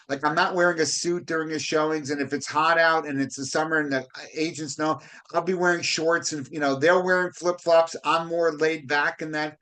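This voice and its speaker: A man hosting a podcast, talking casually in a halting way and often repeating words as he goes. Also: fairly high pitch, fairly bright tone. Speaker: podcast host, real estate broker. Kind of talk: conversational podcast interview.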